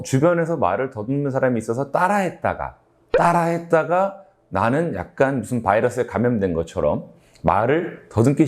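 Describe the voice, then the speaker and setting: Low-voiced, animated man explaining health topics. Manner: conversational, asks rhetorical questions and answers them. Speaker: ENT doctor and sleep-health show host. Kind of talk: explanatory health talk to camera.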